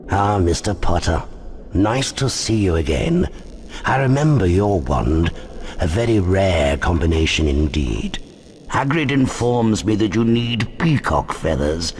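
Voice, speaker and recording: A man playing a courteous old shopkeeper in a video game, speaking in a measured, formal manner. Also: deep voice, slight background noise, full character voice. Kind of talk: scripted game cutscene dialogue.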